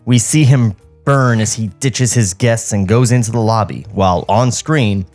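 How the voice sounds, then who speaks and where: A man's voice: lowish voice, moderate pace, a little mellow, soft background music. A man hosting a podcast, reading script directions aloud and commenting casually on them in a comedic table read.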